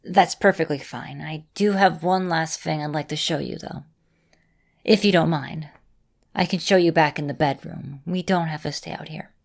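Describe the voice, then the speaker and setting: A woman playing an affectionate vampire mistress in an ASMR roleplay, speaking in a gentle, reassuring and softly teasing manner. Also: sits low, moderate pace, gravelly. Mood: tender, reassuring. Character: affectionate centuries-old female vampire mistress, encouraging mentor.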